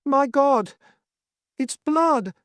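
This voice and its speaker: A man's voice: high-pitched, expressive, moderate pace, a little mellow, no room sound, pitched above the performer's own voice. A man voicing an old, suspicious nobleman collector who nags and snarls in a cold, mocking way. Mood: alarmed. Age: older. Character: old nagging suspicious male lord collector. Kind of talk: audiobook reading.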